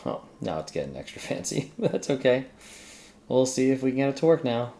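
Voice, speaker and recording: A man's voice: medium pitch, sing-song, brisk, vocal fry, dark tone. A man talking casually through a coding task as a screencast host, often muttering to himself as he works. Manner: mutters to self while working. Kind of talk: casual think-aloud coding tutorial.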